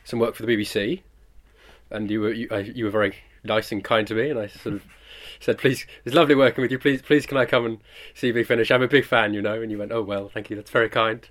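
A man's voice: lowish voice, fairly even intonation, fast talker, a bit bright. A British man in his twenties being interviewed as a producer, chatting casually in a modest, rambling way and correcting himself. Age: 20s.

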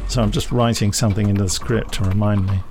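Gravelly fifties man, chuckling. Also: fairly low pitch, fairly even intonation, fast talker, music in the background. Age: 50s. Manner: chuckling, self-deprecating, jokey. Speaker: podcast co-host. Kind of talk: casual studio chat.

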